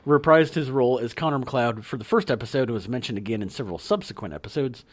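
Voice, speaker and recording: A man's voice: medium pitch, fast talker. A man co-hosting a podcast, reading facts from notes in casual chat with fillers. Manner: reads notes with fillers, laughs along. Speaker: podcast co-host.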